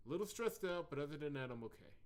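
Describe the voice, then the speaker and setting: A man's voice: on the high side, animated, racing, slight background noise. A man hosting a video game playthrough with casual commentary, sometimes reading on-screen text aloud. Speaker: host of a gameplay video series.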